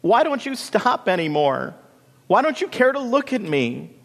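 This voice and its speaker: A man's voice: sits high, sing-song, unhurried, gravelly, voice pushed up, heavily acted. A man voicing a vain, self-pitying young female marigold in a storybook, screaming shrilly and dramatically. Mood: desperate pleading. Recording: long hall reverb.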